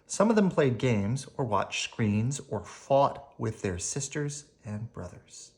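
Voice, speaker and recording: An adult storyteller reads a picture book for children. The pace is slow and the intonation is very varied and expressive. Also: medium pitch.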